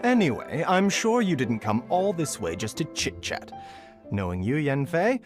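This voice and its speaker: A man's voice: on the high side, very animated, normal speed. A man playing a courtly, wry, suave doctor in a video game, speaking theatrically. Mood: wry, obliging. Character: urbane male pharmacy doctor, suave, teasing and sly. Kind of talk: video game character dialogue. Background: game music.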